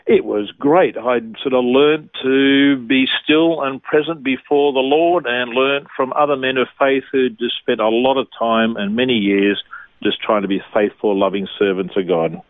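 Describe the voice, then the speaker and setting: A man from New South Wales with an Australian accent, calmly and earnestly telling his story of faith as a guest. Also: medium pitch, a little slow, no echo. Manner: calm, earnest, devout. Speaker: ministry leader, interview guest. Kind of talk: reflective conversational storytelling. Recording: tinny phone line.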